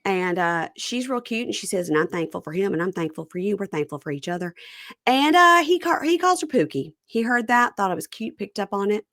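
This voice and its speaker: A woman's voice: mid-range voice, brisk, dry recording, clean studio sound. A woman from Mississippi with a Southern accent, hosting a casual reality TV recap podcast and wandering off on chatty tangents. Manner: chatty and animated, jumping between tangents. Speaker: podcast host.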